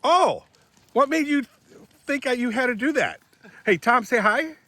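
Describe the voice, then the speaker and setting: A man's voice: very high voice, animated, measured pace, sharp tone, no room sound. A man in his seventies chatting in a rambling, casual vlog while working outdoors on rural land, often correcting himself as he goes, recorded on a handheld phone camera. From Michigan, USA. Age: 70s. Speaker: vlogger.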